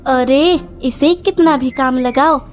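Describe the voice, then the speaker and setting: A woman voicing a scheming, sharp-tongued mother bird in a children's cartoon, with a sarcastic, dramatic delivery. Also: sits high, takes it slowly, faint music behind. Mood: sly, sarcastic.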